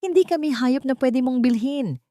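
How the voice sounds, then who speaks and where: A woman's voice: high voice, moderate pace, warm tone, no echo, studio quality, pitched above the performer's own voice, natural voice. A woman playing a defiant, protective mother. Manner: firm, indignant, trembling with anger. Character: frightened, fiercely protective poor mother, angry and defiant.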